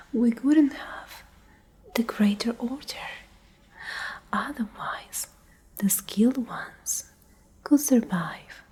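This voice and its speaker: A woman playing a menacing, domineering leader of a survivor group in a whispered ASMR roleplay, speaking in a breathy, husky voice with slow, condescending control. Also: on the low side, dark tone, exaggerated voice. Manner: slow, condescending, coldly threatening.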